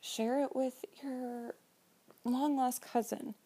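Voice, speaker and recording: Nasal young woman with a gravelly edge. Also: high voice, fairly even intonation, dark tone. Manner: slow, drawn-out delivery. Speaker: esthetician podcast host. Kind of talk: casual solo podcast chat.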